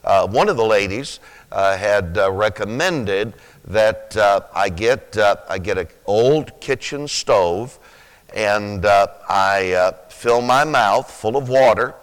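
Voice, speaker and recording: A man in his sixties with a rough, sleepy-sounding voice, preaching a sermon slowly, with many pauses, then rising with fervent emphasis. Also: on the low side, expressive. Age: 60s. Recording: reverberant hall.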